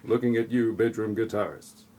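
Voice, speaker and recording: Deadpan man narrating an audio play. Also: fairly low pitch, moderate pace. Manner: deadpan, sarcastic asides. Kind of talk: tongue-in-cheek scripted audio play narration.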